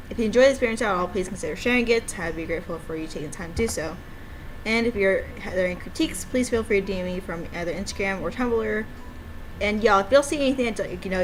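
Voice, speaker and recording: A woman chatting casually and excitably as she plays through a visual novel she made. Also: medium pitch, slight background noise, low-quality recording. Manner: giggly, excitable, informal. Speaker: game developer streaming own visual novel. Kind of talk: casual playthrough chat, reading dialogue aloud.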